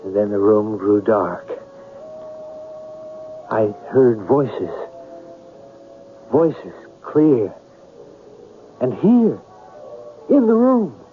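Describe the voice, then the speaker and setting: An actor playing a brooding storyteller in a 1970s radio drama, speaking in a deliberate, ruminative way with dramatic pauses. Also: male voice, on the low side, very animated, full character voice. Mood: haunted wonder. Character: brooding male storyteller haunted by a mystery. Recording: muffled vintage radio broadcast with music.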